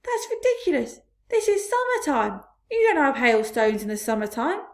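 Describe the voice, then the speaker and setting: Woman voicing a brash male centipede, high and loud, rough-edged, rude, storybook. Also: very animated, moderate pace. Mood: scornful shouting. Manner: brash, shouting insults.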